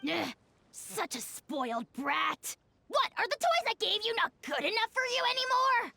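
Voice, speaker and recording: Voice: very high-pitched, very animated, normal speed, creaky, bright, forceful tone, slight background noise, exaggerated voice. An actor voicing a small, haughty old pixel dragon in a video game, blustering and indignant.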